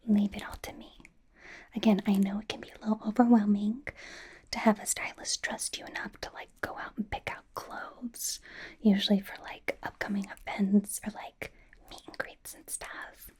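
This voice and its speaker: A woman in an ASMR roleplay playing a doting, bossy young pop idol turned fashion stylist, in a soft breathy whisper with a playful, halting delivery. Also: mid-range voice, little pitch movement. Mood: doting, reassuring. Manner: playful, gushing, gently insistent, halting. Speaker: ASMR performer. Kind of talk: ASMR roleplay, personal attention.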